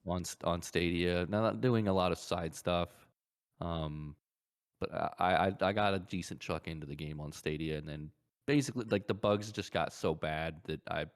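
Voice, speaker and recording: A man's voice: low-pitched, moderate pace, clean tone. A man hosting a podcast, chatting casually about video games and often circling back to repeat his phrases. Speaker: podcast host.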